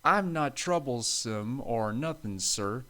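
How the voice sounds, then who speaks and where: A man's voice: medium pitch, lively intonation, slow, a bit bright. A man voicing an eager, earnest American steam engine with an American accent and folksy speech in a children's story. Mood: apologetic, sad. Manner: folksy, colloquial grammar.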